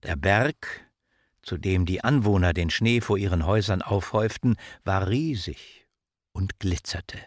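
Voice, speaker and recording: An actor voicing a solemn storyteller, narrating slowly and dramatically as in an audiobook. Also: male voice, low voice, dry recording, clean studio sound. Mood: solemn, atmospheric. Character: solemn storyteller of a dark winter night tale.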